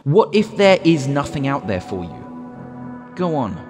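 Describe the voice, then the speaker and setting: An actor playing a recorded guiding Voice in a stage play, measured and instructive, cryptic and insistent. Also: male voice, mid-range voice, big pitch swings, a little mellow. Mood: cryptic, persuasive. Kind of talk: one-man stage play dialogue. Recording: echoey room, music behind the voice.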